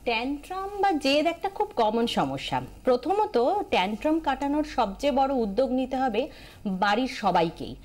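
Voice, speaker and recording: Animated Bengali woman giving expert advice. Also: high-pitched, normal speed. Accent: Indian Bengali. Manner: emphatic, repeats key phrases, mixes in English terms. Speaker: expert guest on parenting. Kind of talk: explanatory advice in a TV interview.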